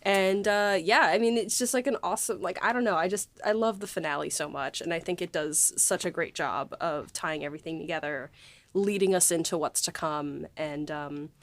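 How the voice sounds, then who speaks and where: A woman's voice: fairly low pitch, normal speed, no echo. A woman hosting a podcast in casual, unscripted chat with an informal manner. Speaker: podcast host.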